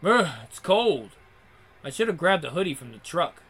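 A man's voice: sits high, big pitch swings, moderate pace, a bit bright, breathy edge, heavily acted. A man reading an easygoing young guy. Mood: easy. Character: young adult man returning to old hangout, easygoing.

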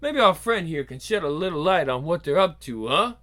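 A man's voice: a little high, very animated, a little fast. A man playing a crude, blustering mafia don with a sneering, menacing manner. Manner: sneering, swearing, forceful. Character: brash male mob boss, crude, menacing, blustering.

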